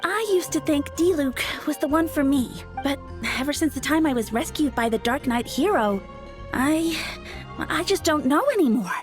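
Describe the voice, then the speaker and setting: A woman's voice: high-pitched, lively intonation, moderate pace, faint music behind. A woman voicing a dreamy, flustered, lovestruck young flower shop girl in a video game. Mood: confessing, torn.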